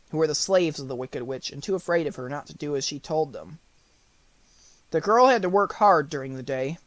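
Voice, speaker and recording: A man narrates a storybook audiobook. His voice is on the high side, and he reads in measured, neatly phrased sentences. The sound is very dry, with no room around it. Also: no echo.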